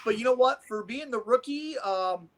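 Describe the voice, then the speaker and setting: A man's voice: extremely high pitch, lively intonation, normal speed, crowd noise. A man from Nebraska commentating martial arts sparring in an enthusiastic, rambling, coach-like way. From Omaha, Nebraska. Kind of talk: casual sports commentary.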